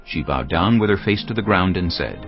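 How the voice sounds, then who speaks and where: A man narrating scripture from a script in a measured, solemn storytelling manner. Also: low-pitched, expressive, muffled recording, faint music behind.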